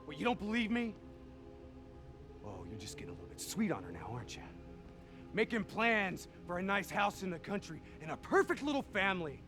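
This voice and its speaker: An actor playing an embittered, combative soldier in a military video game, sarcastic and forceful, quick to swear. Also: male voice, sits high, sing-song, over music. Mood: angry, sarcastic. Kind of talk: dramatic video game voice acting.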